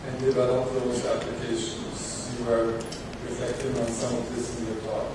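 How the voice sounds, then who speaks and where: A man's voice: medium pitch, monotone, moderate pace. A man moderating a conference discussion without a script, steering it from one speaker to another. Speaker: panel moderator. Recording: roomy, distant mic, noisy hall.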